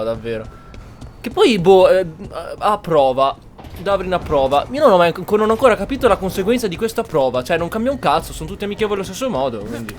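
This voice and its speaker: A man's voice: sits high, a little hiss or hum. An Italian man giving casual, blunt, colloquial commentary as he plays a video game. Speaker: gaming streamer.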